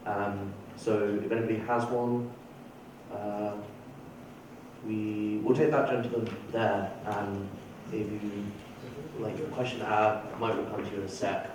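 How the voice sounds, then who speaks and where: A man chairing a debate, hesitant and filling pauses. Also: lowish voice, flat delivery. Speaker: moderator. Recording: echoey hall, far from mic, noise.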